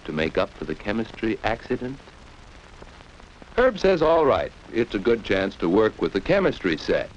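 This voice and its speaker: Animated 1940s educational film narrator, a man. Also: fairly low pitch, normal speed. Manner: didactic, addresses young listeners with rhetorical questions. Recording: dull, noisy 1940s film soundtrack.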